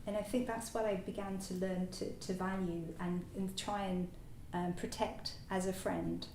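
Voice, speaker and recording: A woman whose first language is French gives a halting personal talk as a new Buddhist order member, full of fillers and restarts. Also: medium pitch, flat delivery, darkish tone. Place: meditation centre hall. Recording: echoey hall, distant mic, faint noise.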